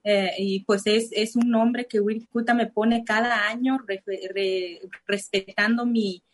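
A woman's voice: mid-range voice, fairly even intonation, measured pace. Hesitant woman speaking Spanish. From Nayarit, Mexico. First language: Cora (Náayeri). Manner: hesitant, many fillers and restarts. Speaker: interview guest. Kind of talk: personal testimony.

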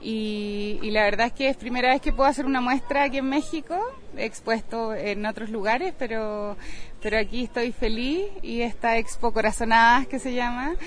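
Chilean woman, 40s, upbeat guest, bright enthusiasm, a touch high. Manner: bubbly, enthusiastic, laughs easily. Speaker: guest artist. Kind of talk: interview answers.

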